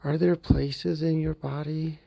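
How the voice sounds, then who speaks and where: A man guides a mindfulness meditation for a therapy group with an unhurried, very slow delivery. His voice is deeply gravelly and husky, with a mellow, dark tone. He stays gentle throughout and often sinks into soft whispers. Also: flat delivery.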